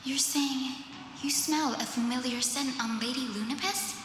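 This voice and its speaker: High, gentle young healer girl. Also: measured pace, very noisy. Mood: surprised, curious. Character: gentle, earnest young female healer, sweet and compassionate. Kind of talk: scripted anime-style game voice acting. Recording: echoey hall reverb, music behind.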